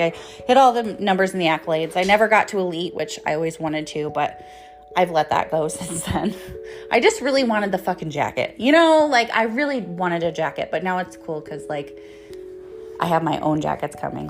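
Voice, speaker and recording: Lower-voiced woman, candid and rambling. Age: 30s. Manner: candid, rambling, swears freely. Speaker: host, author and motivational speaker. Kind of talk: casual personal storytelling monologue. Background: music.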